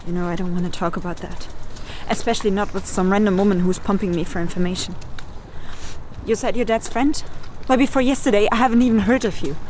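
A woman's voice: medium pitch, fast talker, a little mellow, natural voice. An actress playing a combative, idealistic German activist woman in a radio drama, scornful, challenging and defensive. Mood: guarded. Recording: noticeable ambient noise.

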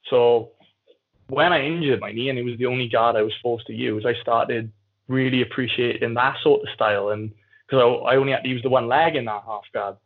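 A man from the South Wales Valleys tells stories in a candid, informal way, as a guest in a relaxed conversation. The audio is narrow and phone-like, as if over a call. His pitch is average for a man. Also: brisk. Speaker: podcast guest, jiu-jitsu athlete. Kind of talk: casual conversational storytelling.

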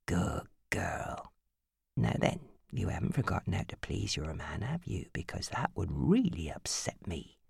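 A woman voicing a brutal, controlling man in an audiobook, menacing, moving between hard barks and hoarse, harsh murmurs. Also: extremely low pitch, sing-song, a little slow, a little mellow, dry recording, pitched below the performer's own voice, heavily acted. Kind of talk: audiobook character acting.

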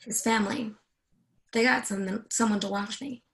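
An actress reads a confident senator's wife in a screen drama. Her voice is fairly high with plenty of creak. She is blunt, teasing and jaded, and happy to swear. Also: steady tone, normal speed, a bit bright. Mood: wary. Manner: assertive, teasing, profane. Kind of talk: dramatic screenplay table read.

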